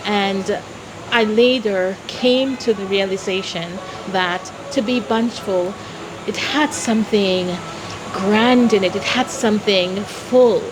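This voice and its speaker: A woman from Jamaica telling personal stories as an interview guest, in a deliberate, expressive way. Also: medium pitch, noisy background. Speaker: interview guest, founder of a philanthropy organization.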